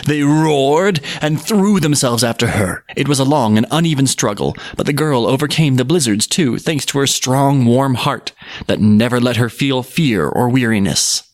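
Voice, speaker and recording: Expressive man narrating, dry close sound. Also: mid-range voice, moderate pace, no echo. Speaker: podcast host and storyteller. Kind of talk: scripted folk tale narration.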